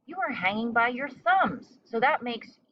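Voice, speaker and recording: A woman voicing a loud, emphatic, matter-of-fact bat in a storybook. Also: fairly high pitch, sing-song, unhurried, no echo, highs cut off, voice pushed up. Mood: matter-of-fact correcting.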